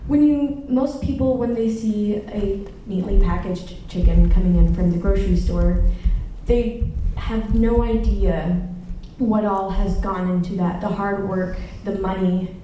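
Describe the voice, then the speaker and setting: A woman's voice: medium pitch, mellow, slight background noise. A woman from Chile giving an earnest, formal prepared speech as a public commenter at a meeting. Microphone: lectern mic, heard from a distance. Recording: echoey meeting room from far off.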